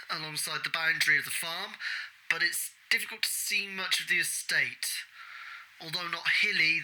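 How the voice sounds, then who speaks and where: A woman playing a methodical investigator who dictates measured, matter-of-fact field notes in an audio drama. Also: low voice, sharp tone, full character voice, poor audio. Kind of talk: audio drama monologue as spoken log.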